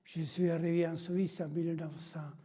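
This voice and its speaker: High-voiced man in his eighties. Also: flat delivery, warm tone, breathy edge. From Italy. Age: 80s. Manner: measured, listing dates and places. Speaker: interviewee. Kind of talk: personal life story. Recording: reverberant room.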